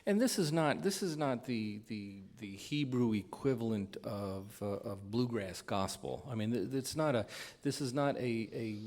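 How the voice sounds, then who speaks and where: A man's voice: medium pitch, moderate pace, hardly any pauses, a little mellow. A man hosting a radio show, interviewing musicians informally and feeling his way through questions with repeated words. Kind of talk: informal live stage interview.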